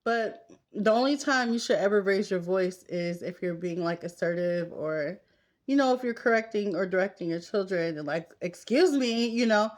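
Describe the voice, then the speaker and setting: A woman in her thirties talks in a casual, confessional vlog style. Her voice sits at a middle pitch. She is candid and conversational, speaking straight to the women watching as she gets ready. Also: expressive, normal speed. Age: 30s. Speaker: vlogger. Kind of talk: casual confessional chat while getting ready.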